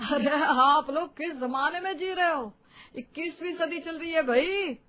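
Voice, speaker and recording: A woman's voice: very high voice, unhurried, bright, forceful tone, full character voice. A woman voicing an outspoken, modern-minded family member in a cartoon drama, indignant, challenging and scolding. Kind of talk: animated family drama voice acting in Hindi.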